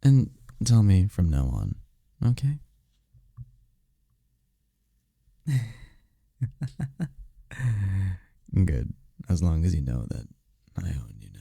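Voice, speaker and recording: A man playing a tender, teasing incubus demon boyfriend in an intimate ASMR roleplay, speaking in a breathy, soothing, soft-spoken way. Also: no echo, studio quality, full character voice. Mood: teasing, playful. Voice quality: breathy, gravelly low voice.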